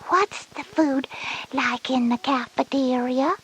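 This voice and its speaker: Breathy anxious little girl. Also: sits high, takes it slowly, heavily acted. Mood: anxious, wondering. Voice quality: breathy, whispery. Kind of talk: scripted children's educational audio drama.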